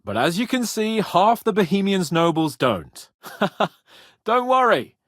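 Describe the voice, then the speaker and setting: A brash young nobleman for a medieval video game. His voice sits high and swings widely, full of lordly self-importance and spirited confidence. The sound is very dry. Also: big pitch swings, slow, no room sound, heavily acted. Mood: eager, confident. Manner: pompous, spirited, swearing. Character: cocky young Bohemian noble lord, boastful, foul-mouthed, eager.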